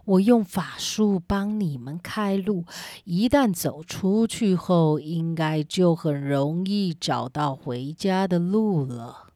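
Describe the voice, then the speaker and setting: A woman voicing a kindly old woman of the forest who knows magic, speaking slowly in a lowered, drawn-out voice. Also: warm tone, no echo, studio quality. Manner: slow, low, drawn-out. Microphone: simple home recording setup.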